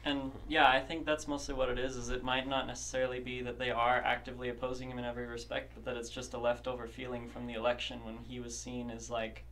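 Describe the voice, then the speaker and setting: Male panel guest, monotone and fast, faint hiss behind. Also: medium pitch, poor audio. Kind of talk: casual political discussion.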